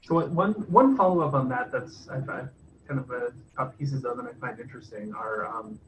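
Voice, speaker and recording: A man hosting a casual video call, asking long, halting questions full of fillers and restarts. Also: mid-range voice. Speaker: host. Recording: distant mic with faint background noise.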